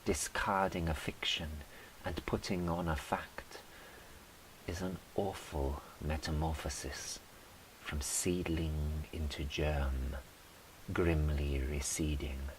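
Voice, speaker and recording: A man reciting his own poetry in a measured, dramatic manner. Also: very deep voice, steady tone, rough recording. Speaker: poet reading own poems. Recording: faint background hiss.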